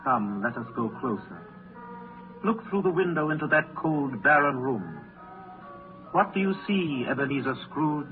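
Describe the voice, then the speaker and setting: An actor playing a solemn, commanding, ageless spirit, speaking in a stately, measured way in a 1930s radio drama. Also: male voice, medium pitch, big pitch swings, narrow, tinny audio. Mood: gentle probing. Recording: thin 1930s radio broadcast, music behind.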